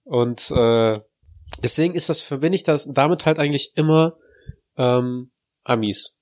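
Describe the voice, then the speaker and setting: A man's voice: mid-range voice, measured pace. Nasal, stuffy-nosed man joking around. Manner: rambling, joking. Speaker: podcast co-host. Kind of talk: casual banter.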